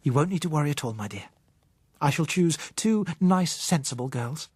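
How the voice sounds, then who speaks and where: A man's voice: on the high side, expressive, moderate pace, a little mellow, slightly rough, no room sound, pitched above the performer's own voice. A man voicing an earnest, idealistic young woman who speaks with tender, pleading emphasis in an audiobook. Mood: tender reassurance.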